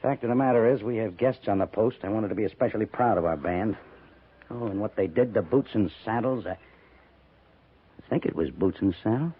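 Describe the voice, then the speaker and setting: Actor playing an irritable, commanding cavalry major. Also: male voice, on the low side, normal speed, slight background noise, natural voice. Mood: apologetic, flustered. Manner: clipped, exasperated, commanding. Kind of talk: 1950s radio western acting. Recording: narrow muffled 1950s radio recording.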